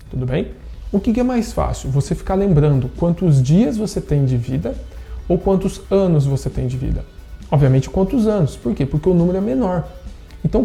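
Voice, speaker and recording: A man in his thirties with a Brazilian Portuguese accent, teaching a science lesson online and explaining step by step, often checking in with quick tag questions. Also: fairly high pitch, vocal fry, dark tone. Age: 30s. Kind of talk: online class lecture.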